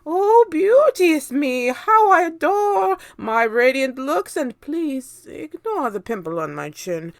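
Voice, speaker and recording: A woman's voice: sing-song, slow pace, few pauses, no room sound, exaggerated voice. A woman voicing a bony, vain and spiteful old aunt who screeches her words. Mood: mocking. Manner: screeching, shrill and harsh.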